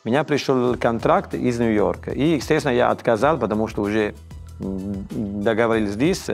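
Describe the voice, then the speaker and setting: A man in his fifties with an Italian accent, speaking Russian as a second language in a studio interview, halting and often restarting words. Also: medium pitch, creaky, faint music behind. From Italy. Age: 50s. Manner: halting, frequent restarts and stammers, searching for words. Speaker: chef, interview guest. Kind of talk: casual interview conversation. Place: dark indoor studio set, seated in armchair.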